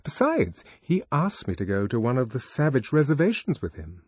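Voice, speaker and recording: A man's voice: mid-range voice, wildly swooping pitch, normal speed, husky, no room sound, voice pushed up. A man voicing a breezy, teasing young woman in an audiobook reading.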